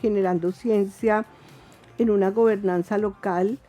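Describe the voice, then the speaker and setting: A woman's voice: mid-range voice, steady tone, unhurried, dark tone, soft background music. A woman, an institute director and guest in a radio interview, who explains things thoughtfully.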